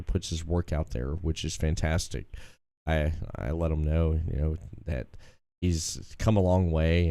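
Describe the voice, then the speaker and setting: Host, male, late 30s; deep voice, dry close mic, rambling casual chatter. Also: mellow, clean tone, dry recording. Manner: rambling, repeats phrases. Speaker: podcast host. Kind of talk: casual chat.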